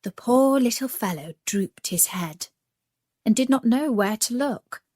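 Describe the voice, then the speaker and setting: A woman narrating a scripted children's story in a measured, expressive manner. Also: a little high, dry recording, studio quality.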